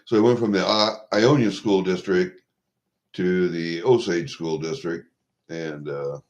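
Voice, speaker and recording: A man in his sixties from Iowa with a Midwestern American accent, chatting to viewers in a rambling, halting, blunt and salty way. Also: sits low, steady tone. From Iowa, USA. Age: 60s. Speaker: vlogger. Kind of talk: rambling casual chat to viewers. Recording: dull lo-fi home recording.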